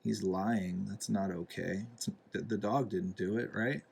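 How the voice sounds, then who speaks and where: A man, a father, reading a picture book aloud to a young child in a deliberate, gently explaining, instructive way. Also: on the low side, fairly even intonation, gravelly, husky. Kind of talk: read-aloud storybook narration with asides to a young child.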